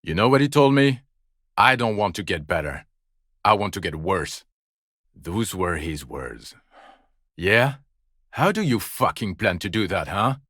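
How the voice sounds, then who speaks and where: A weary male police detective for a video game, with an extremely deep voice and a dry, close studio sound. He is bitter and sardonic, and his delivery has a forceful edge. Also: very animated, unhurried, long pauses, dry recording, exaggerated voice. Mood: angry, despairing. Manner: sardonic, exasperated, foul-mouthed. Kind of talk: dramatic video game acting.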